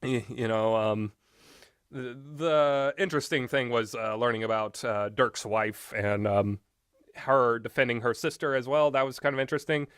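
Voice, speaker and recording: A man's voice: mid-range voice, steady tone, normal speed, fairly bright tone, smooth, no echo. Rambling man in casual game commentary, dry recording. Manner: rambling, hesitant, opinionated. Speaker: video game reviewer.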